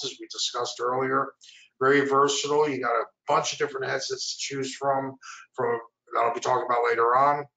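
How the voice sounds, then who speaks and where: A man's voice: mid-range voice, sharp tone. A middle-aged man giving an informal product presentation on a webinar from a small room. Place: small indoor room, video call. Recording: roomy echo, distant microphone.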